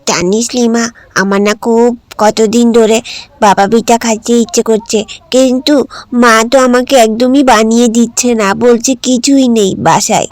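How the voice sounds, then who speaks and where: A woman's voice: on the high side, a little mellow, no room sound, lightly acted. A woman voicing a poor, eager little village girl in a cartoon, with a wistful, pleading, childlike manner.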